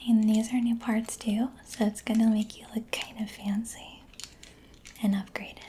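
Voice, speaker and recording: Woman, breathy whisper, mellow tone, even pitch, caring ASMR roleplay, clicks and noise behind. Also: unhurried. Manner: gentle, reassuring, caring. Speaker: ASMR performer playing a mechanic. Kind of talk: soft-spoken ASMR mechanic roleplay. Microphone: close-up ASMR microphone.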